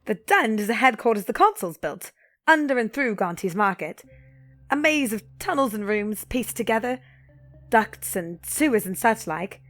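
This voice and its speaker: A woman playing a bold, cocky young elf renegade in an audio drama, brisk and playfully dramatic. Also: a little high, expressive, fairly bright tone, soft background music. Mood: brisk, confiding.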